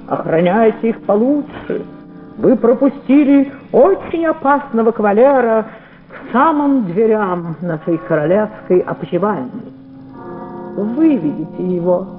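An actress playing an imperious, witty Elizabethan queen in a radio play, with a stately, wry, declamatory delivery. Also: medium pitch. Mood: regal, commanding. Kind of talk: theatrical radio play acting. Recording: dull vintage radio drama recording.

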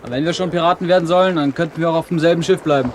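A man's voice: fairly high pitch, racing, a little hiss or hum, close to an everyday voice. A male actor playing a plucky, loyal ship's boy in a German radio play. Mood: regretful, eager.

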